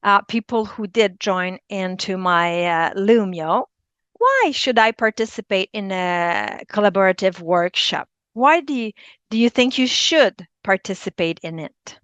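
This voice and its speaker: A woman in her fifties with a Quebec French accent, a teacher presenting a webinar and often pausing to search for her words. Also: mid-range voice, lively intonation, a bit bright, clear, resonant tone, no room sound. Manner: hesitant, searching for words, many ums.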